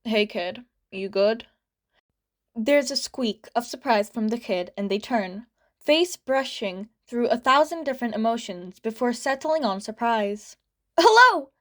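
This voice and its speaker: A woman with a fairly high voice reads fan fiction aloud for her channel. She narrates in a light, steady storytelling manner. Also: moderate pace. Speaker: podfic reader.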